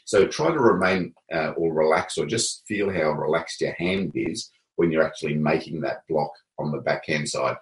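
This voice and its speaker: Deep-voiced man coaching in a roomy space. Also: normal speed, few pauses. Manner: relaxed, hesitant with many fillers. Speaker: table tennis coach. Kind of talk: casual coaching explanation.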